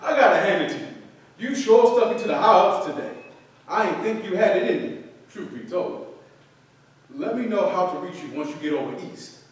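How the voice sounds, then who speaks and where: High-voiced, jovial buddy played by a man. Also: expressive, normal speed. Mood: jovial, admiring. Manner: folksy, hearty. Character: jovial, teasing friend reading his letter aloud. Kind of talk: staged reading of a stage play. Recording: very echoey room, distant mic.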